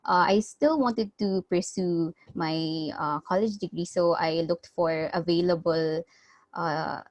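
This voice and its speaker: Lower-voiced woman, dry close sound. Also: fairly even intonation, no room sound. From Philippines. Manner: halting, many fillers. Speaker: student panelist. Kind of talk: webinar panel talk. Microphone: video call.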